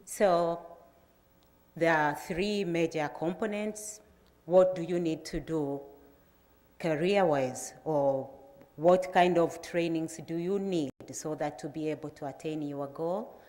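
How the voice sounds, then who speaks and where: A middle-aged Tanzanian woman with a Tanzanian accent, giving a measured, plain presentation to an audience, with frequent pauses. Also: sits low, darkish tone. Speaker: agricultural researcher giving a talk. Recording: reverberant lecture hall.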